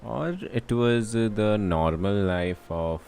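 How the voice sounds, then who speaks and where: Casting: male game streamer with an Indian accent. Deep voice, offhand chatty delivery, faintly noisy setup. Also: slow, gravelly, a little mellow. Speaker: game livestreamer. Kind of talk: casual livestream chat. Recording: faint background noise.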